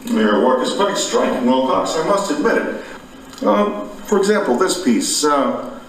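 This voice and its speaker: A man's voice: on the high side, animated, a little slow, roomy sound, a little hiss or hum, rough recording. A man playing a civil, curious police detective in a period stage drama, politely probing and a little hesitant. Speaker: amateur stage actor. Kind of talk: radio-style stage drama.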